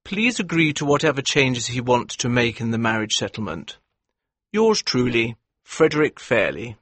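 A man playing a lazy, self-pitying invalid gentleman reading his letter in a dramatized audiobook. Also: mid-range voice, big pitch swings, moderate pace, dry recording. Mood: weary, dismissive.

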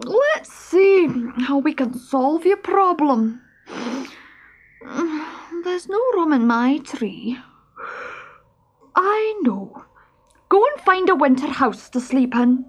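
A woman plays a sleepy red squirrel from a storybook, pitched very high and wandering up and down. He is scatterbrained and slow, dithering between words. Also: dull audio, full character voice. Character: drowsy, scatterbrained male red squirrel, self-absorbed.

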